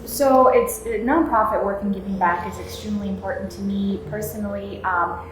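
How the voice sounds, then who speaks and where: A woman's voice: mid-range voice, fairly even intonation, brisk, echoey room, slight background noise, poor audio. A businesswoman answering interview questions in an earnest, conversational way, with frequent fillers. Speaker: business leader guest.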